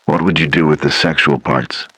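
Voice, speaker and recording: A man's voice: very deep voice, fairly even intonation, moderate pace, pushed and bright. A man asking questions as an interviewer in an informal, conversational way.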